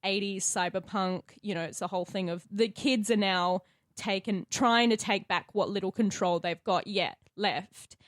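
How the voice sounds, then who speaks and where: A woman's voice: medium pitch, normal speed, dry recording, clean studio sound. An Australian woman in casual podcast conversation, explaining her opinions as one of the players. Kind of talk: casual chat.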